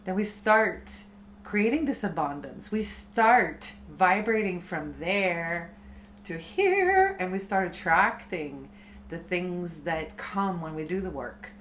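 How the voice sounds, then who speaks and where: Slow, low-voiced woman chanting teacher. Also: expressive, a little hiss or hum. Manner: slow, soft, unhurried spiritual talk. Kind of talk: live-stream chat about chanting.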